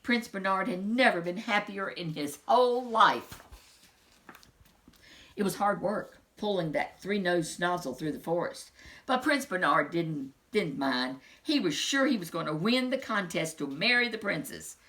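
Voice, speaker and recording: A woman storyteller reading a children's picture book aloud with expressive, dramatic phrasing. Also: fairly low pitch, measured pace.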